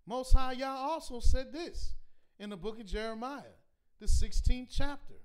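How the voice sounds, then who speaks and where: A man teaching a scripture class in a preaching style, reading verses slowly and pressing his points with repeated rhetorical questions. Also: very high voice, clear, resonant tone. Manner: emphatic, repetitive rhetorical questions; slow deliberate scripture reading.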